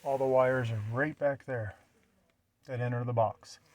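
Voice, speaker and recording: A man's voice: mid-range voice, fairly even intonation, a little slow, darkish tone. Man giving a plainspoken hands-on walkthrough. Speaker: vlogger showing a vehicle radio installation. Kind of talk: casual hands-on walkthrough narration. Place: outdoors around and inside a parked truck.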